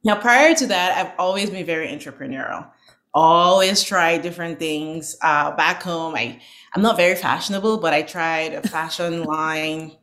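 Low-voiced Nigerian-born woman guest. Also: moderate pace, fairly bright tone. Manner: earnest, reflective, with frequent ums and restarts. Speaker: guest, franchise owner. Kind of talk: interview storytelling.

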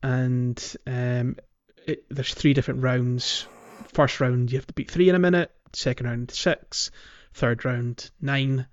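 A man's voice: medium pitch, fairly even intonation, a little slow, hardly any pauses, lo-fi sound. A man co-hosting a casual gaming podcast, explaining things in a hesitant way and checking for agreement. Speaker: podcast co-host.

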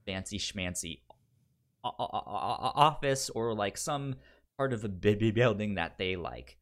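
A man co-hosting a podcast in casual film talk, speaking haltingly and often repeating the first sounds of his words. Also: on the low side, expressive, fairly bright tone. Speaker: podcast co-host.

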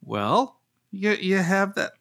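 A man, a pastor from the United States, hosting a casual Bible study podcast in an animated way, often restarting his sentences and leaping up in pitch to make a point. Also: takes it slowly, creaky. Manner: animated, jumps up in pitch for emphasis, frequent restarts.

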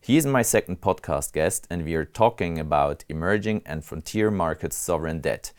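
Deep smooth-voiced man hosting a podcast. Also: expressive, normal speed. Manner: friendly, teasing. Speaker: podcast host. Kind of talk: podcast interview.

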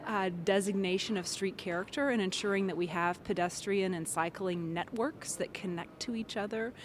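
A woman's voice: fairly low pitch, slight background noise. A woman in an interview, the director of an urban design firm, explaining her ideas and thinking aloud with restarts. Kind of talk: interview answers.

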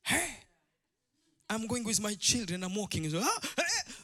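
A man's voice: sits high, moderate pace. A middle-aged man from Rwanda, preaching an impassioned sermon as a pastor in an emphatic, repetitive way. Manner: emphatic, repetitive, swinging between quiet asides and loud declamation.

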